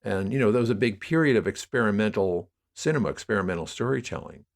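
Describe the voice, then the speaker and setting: Low-pitched man, discursive interview guest. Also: expressive, fast talker, vocal fry. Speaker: podcast guest, audio drama producer. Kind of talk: conversational interview, explaining media history.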